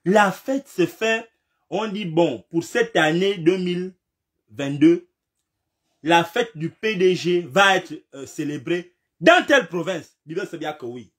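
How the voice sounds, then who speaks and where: A man with a slight cold giving an impassioned political commentary, repeating himself and firing rhetorical questions, now and then rising to a shout. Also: sits high, animated, slow pace.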